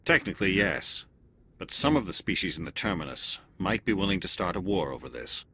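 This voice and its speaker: Nihlus, a cool turian agent. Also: male voice, sits low, big pitch swings, brisk, sharp tone, slightly rough, full character voice. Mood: measured. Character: male turian alien agent, cool and measured. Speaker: elite agent observing a mission. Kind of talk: video game cutscene acting.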